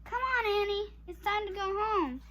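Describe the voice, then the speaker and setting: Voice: very high voice, moderate pace, pushed and bright, voice pushed up. A child voicing a cautious, exasperated eight-year-old boy who protests sensibly in a storybook reading. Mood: exasperated, calling.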